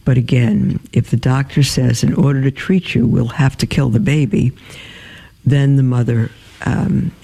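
Gravelly, dark-voiced man reading letters. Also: medium pitch, fairly even intonation, rough recording. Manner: halting, many fillers and restarts while reading. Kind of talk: reading and answering listener emails.

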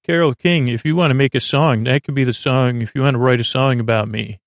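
Creaky-voiced man rambling a bedtime monologue. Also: mid-range voice, steady tone, dry recording. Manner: meandering, hesitant, halting, goes off on tangents. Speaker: podcast host. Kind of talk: rambling bedtime monologue meant to lull listeners to sleep.

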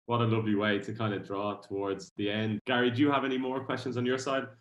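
A man hosting a casual podcast, jokey and quick to laugh, steering the talk along. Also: mid-range voice, flat delivery, lo-fi sound. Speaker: podcast host. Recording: roomy echoey sound.

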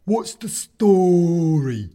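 A man voicing a small, legless, hooded plaster figure, shrill and excitable, dragging its words out slowly. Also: heavily acted. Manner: shrill, slow, drawn-out calls.

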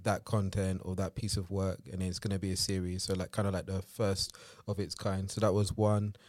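Flat-voiced young man, hesitant. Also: on the low side, no echo, studio quality. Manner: hesitant, full of fillers. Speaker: podcast co-host. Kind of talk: casual chat.

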